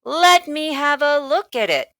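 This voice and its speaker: A woman's voice: high-pitched, sing-song, a little slow, a bit bright, dry recording, clean studio sound, pitched above the performer's own voice, full character voice. A woman voicing a hearty, coaxing male candy storekeeper for a children's storybook, with an emphatic, theatrical salesman's delivery. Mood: nosy, eager. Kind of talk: children's storybook read-aloud.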